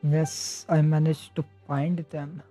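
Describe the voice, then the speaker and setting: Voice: mid-range voice, flat delivery, slow pace, mellow. A game streamer chatting casually on a livestream, with game music in the background.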